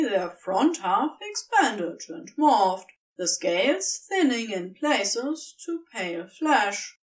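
A woman reading a fiction story aloud as narrator, in an expressive scripted storytelling style. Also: on the high side, far from the mic, poor audio.

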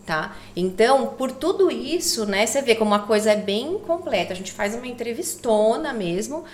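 A woman's voice: fairly high pitch, lively intonation, normal speed. A woman guest on a podcast, chatting casually and explaining her consulting work, often tagging sentences with little checks to the listener. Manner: explanatory, chatty, frequent "né" and "tá" tags. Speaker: guest, co-founder of a baby layette consulting service.

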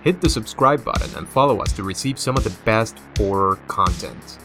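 A man's voice: fairly low pitch, animated, moderate pace, music in the background. A man from Cuba with a Cuban accent, hosting a podcast in a scripted monologue with playful, theatrical flair. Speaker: podcast host.

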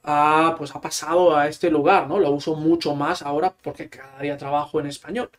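High-voiced man, relaxed talker. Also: brisk. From Catalonia, Spain. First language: Catalan. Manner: relaxed, explains at length with fillers. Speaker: guest, Spanish teacher. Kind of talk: casual interview chat.

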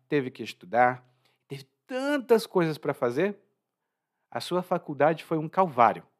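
A Brazilian man with a Brazilian Portuguese accent, teaching Portuguese vocabulary in a chatty, informal way. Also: medium pitch. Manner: lively, informal, chatty, laughs at own jokes. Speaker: language teacher. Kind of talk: explaining vocabulary from a story.

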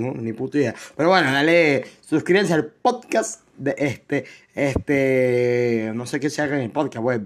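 A young man from Venezuela with a Venezuelan accent, hosting his first podcast alone in a rambling, self-joking way full of fillers and restarts. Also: a little high. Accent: Venezuelan Spanish. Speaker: podcast host. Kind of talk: casual solo podcast chat.

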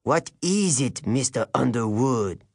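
A stiff, imperious schoolmaster with a French accent, played by a man reading a story. He is hostile and formal, snapping out orders. The delivery swings in pitch and sits close and dry. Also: animated, slow pace, dry recording. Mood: suspicious. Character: stiff, haughty, hostile male military-school teacher.

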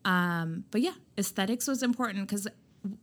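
A woman guest on a chat podcast talking candidly about founding her own skincare brand, loud and emphatic, with lots of "like" fillers. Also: medium pitch, normal speed. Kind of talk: candid conversational interview.

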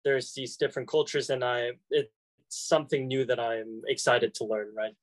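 A man's voice: mid-range voice, flat delivery, normal speed, no room sound. A young man, a college student, answering questions casually with many fillers and restarts. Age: young adult. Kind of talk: casual conversation.

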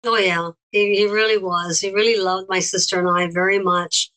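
Bright-voiced woman with a slight accent. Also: mid-range voice, flat delivery. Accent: slight foreign accent. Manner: gentle, unhurried, with pauses and fillers. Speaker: interview guest. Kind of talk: recollecting in conversation.

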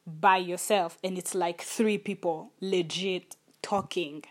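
A woman's voice: lowish voice, fairly even intonation, takes it slowly. A young adult woman hosting a solo podcast, talking in an earnest, thoughtful and openly personal way. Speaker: podcast host. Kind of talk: reflective solo podcast talk.

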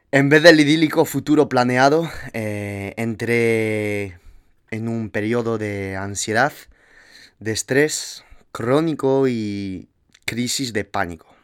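A man's voice: medium pitch, clean tone. A young man in his twenties with a French accent, hosting a motivational podcast in an upbeat, emphatic way. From France. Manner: upbeat, motivational, speaks slowly with emphatic stresses. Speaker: podcast host. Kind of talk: solo podcast monologue.

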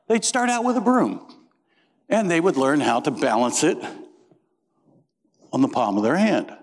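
A middle-aged man from Indiana preaching a sermon as pastor, folksy and joking as he tells stories. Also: a little high. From Indiana, USA. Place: church. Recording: reverberant church sanctuary.

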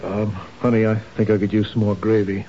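An actor playing a kind, patient husband who gently questions his wife, in a 1970s radio drama. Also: on the low side, flat delivery, fairly quick, a little hiss or hum, close to an everyday voice. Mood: gentle. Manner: gentle, reassuring, probing. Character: kind, patient husband, city office worker. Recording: dull old radio broadcast.

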